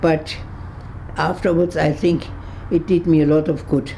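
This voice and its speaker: A woman over one hundred, from Berlin, recalling her past in an interview, halting and searching for words with frequent restarts, and laughing easily with dry humor. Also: low voice, level tone, vocal fry, dark tone, slight background noise. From Berlin, Germany. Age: 100s. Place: her home on a kibbutz.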